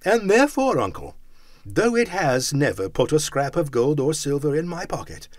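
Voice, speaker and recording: A cheerful young man pleads earnestly, as a male reader gives him voice. His pitch rises and falls with feeling, recorded close and dry. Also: big pitch swings, moderate pace, no echo.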